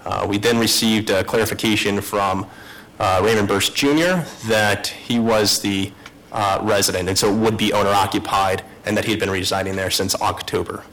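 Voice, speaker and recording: A man's voice: on the low side, fairly even intonation, normal speed, fairly bright tone, poor audio. A man, a city staff investigator, giving a matter-of-fact report at a public hearing.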